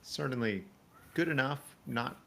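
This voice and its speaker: A man's voice: mid-range voice, a little slow, gravelly, a bit bright, a little hiss or hum. A man hosting a casual livestream, friendly and chatty, laughing often. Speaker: livestream host. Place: home, now living in Spain.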